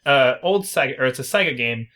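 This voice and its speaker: Male podcast host, mid-pitched, chatty and informal, talks through explanations with casual emphasis. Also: expressive, a little fast, sharp tone. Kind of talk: casual video game review chat.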